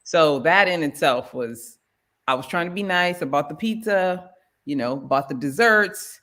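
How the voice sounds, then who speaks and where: A middle-aged woman with a fairly low voice hosts a relaxed talk show. She tells stories with lots of animation, acting out her side of the exchange in a pitched-up voice. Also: unhurried. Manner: animated storyteller, acts out quotes in a high voice. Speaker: host. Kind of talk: casual chat.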